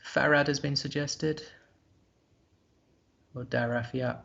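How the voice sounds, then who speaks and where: A man's voice: medium pitch, fairly even intonation, slow, creaky, a bit bright, lo-fi sound. A man commentating a live board game, thinking aloud in a hesitant, musing way.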